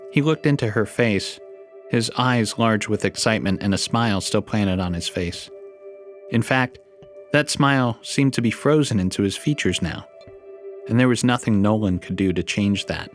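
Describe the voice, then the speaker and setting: A man reading as narrator in a scripted podcast style. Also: fairly low pitch. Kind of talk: scripted dark story narration and podcast promo read.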